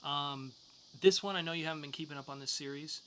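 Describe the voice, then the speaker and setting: A man's voice: fairly high pitch, fast talker, a crowd in the background. A man co-hosting a podcast, talking through news and reviews in a casual, joking way. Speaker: podcast co-host. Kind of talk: news segment and comics review.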